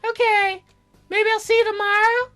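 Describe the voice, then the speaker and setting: Man putting on a squeaky, sassy teen fairy girl. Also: little pitch movement, moderate pace, bright, forceful tone, faint music behind. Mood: cheerful. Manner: sassy, casual, boastful. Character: perky, cheeky teenage cloud fairy girl.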